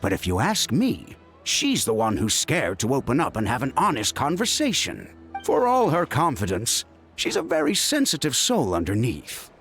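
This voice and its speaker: A man's voice: medium pitch, moderate pace, faint music behind. An actor playing a caring, middle-aged father and healer in a video game, chiding and chatting in an exasperated way. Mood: fretful, chatty. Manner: animated, chiding, conversational.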